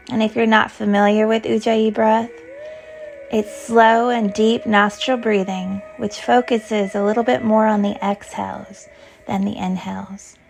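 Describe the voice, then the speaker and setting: Female meditation guide, gentle and soothing, mid-pitched with some vocal fry, faint music beneath. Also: rough recording. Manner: soothing, gentle, unhurried instruction. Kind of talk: guided yoga nidra meditation.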